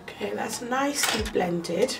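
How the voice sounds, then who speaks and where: A woman's voice: medium pitch, lively intonation, gravelly, music in the background. A young woman with a cold, sounding nasal, chatting step by step through a makeup tutorial in her bedroom, close to the camera. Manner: slow, chatty step-by-step talk with fillers. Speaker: makeup vlogger. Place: small bedroom indoors. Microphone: camera close up to the face.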